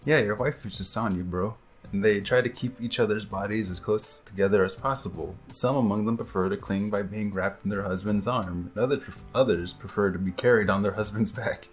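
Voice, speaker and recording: A man's voice: fairly low pitch, fairly quick, hardly any pauses, faint music behind. A man reading aloud casually and comedically, breaking off into joking asides as he goes. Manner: joking, riffing asides between read passages. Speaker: co-host reading aloud and commenting.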